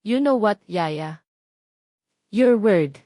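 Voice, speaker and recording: A woman's voice: mid-range voice, animated, very slow, warm tone, no room sound, clean studio sound. A woman with a Filipino accent voicing an affectionate, inquisitive little boy in a story, with childlike phrasing. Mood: curious. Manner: mixes English and Tagalog, childlike phrasing. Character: little boy, affectionate, inquisitive, wistful about his mother.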